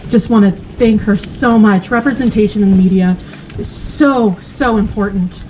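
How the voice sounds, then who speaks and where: A young woman giving an earnest, halting tribute at an outdoor memorial by a lake. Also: medium pitch, dark tone. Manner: earnest, halting, filler-heavy. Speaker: vigil attendee. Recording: dull audio with wind and boat noise.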